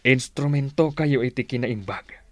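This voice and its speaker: A man's voice: medium pitch, moderate pace, no room sound, lightly acted. A man with a Filipino accent playing a solemn, devout older uncle in a radio drama. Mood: solemn, concerned.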